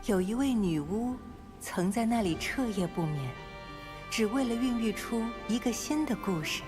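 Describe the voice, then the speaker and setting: A woman playing a mysterious storyteller, reciting riddles in a measured, lyrical storybook manner for an anime game. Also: medium pitch, lively intonation, music in the background, close to an everyday voice. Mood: mysterious, serene. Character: mysterious female storyteller speaking poetic riddles. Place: studio game dialogue with music behind.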